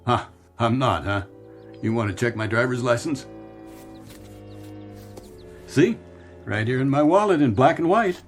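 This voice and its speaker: An American actor playing a nostalgic, bewildered middle-aged man in a radio drama, speaking earnestly and haltingly. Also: medium pitch, expressive, lightly acted. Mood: wistful, friendly. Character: nostalgic, bewildered man revisiting his boyhood town. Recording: studio radio drama with music bed.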